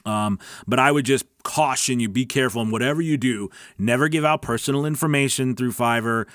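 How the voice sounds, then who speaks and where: Man, livestream host, smooth clear voice, dry close sound, chatty informal delivery, casual Q&A. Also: mid-range voice, fairly quick, a bit bright, dry recording. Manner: chatty, informal, often checking for understanding. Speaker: host of a live weekly voice-over coaching stream. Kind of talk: casual livestream Q&A, reading and answering viewer comments.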